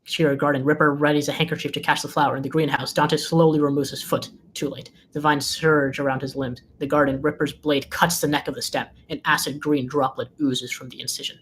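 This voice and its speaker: A narrator reads screenplay directions aloud in a scripted delivery. The voice sits at a middling pitch. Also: level tone, brisk, hardly any pauses, fairly bright tone, a little hiss or hum.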